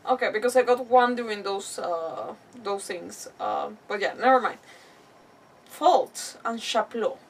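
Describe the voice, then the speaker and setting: A woman live streaming a game with giggly, excitable, chatty casual reactions. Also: medium pitch, unhurried, breathy edge, distant mic.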